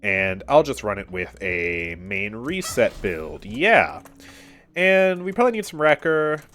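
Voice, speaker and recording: A man's voice: fairly low pitch, very animated, measured pace, fairly bright tone, a little hiss or hum. A man streaming a game from his room, chatting in a casual, upbeat way and laughing often, sounding stuffy and a little nasal from a cold. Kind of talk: casual live gameplay commentary. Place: his own room at home.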